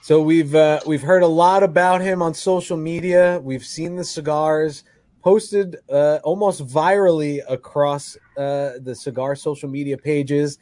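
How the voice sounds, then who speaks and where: A man with a fairly high voice hosts a talk radio show. He introduces his guest and asks friendly, leading questions. The studio sound is dry and close. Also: steady tone, a little slow, mellow, no room sound. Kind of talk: radio interview.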